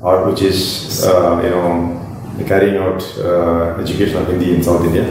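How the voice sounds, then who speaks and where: A man's voice: low voice, completely flat delivery, normal speed, far from the mic. A man on an interview panel who rephrases and presses his questions. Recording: echoey room from afar with clear background noise.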